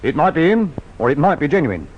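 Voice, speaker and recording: A worried garage owner in an old radio play. His high, excitable voice is earnest and urgent, caught on a muffled, faintly noisy recording. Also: quick pace. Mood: urgent, wary. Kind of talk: 1950s radio drama acting.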